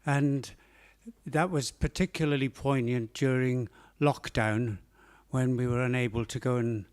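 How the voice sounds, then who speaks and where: A man with a Welsh accent, an open mic poet in a cafe, introducing and reading his poem in a slow, measured way with hesitant fillers. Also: mid-range voice, steady tone, a little mellow, clear, resonant tone. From Wales.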